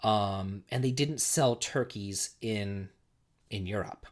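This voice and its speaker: A man's voice: fairly low pitch, takes it slowly. A man in his forties hosting a casual livestream, a bit tipsy, rambling and repeating himself while telling stories and reading chat. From United States. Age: 40s. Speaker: livestream host.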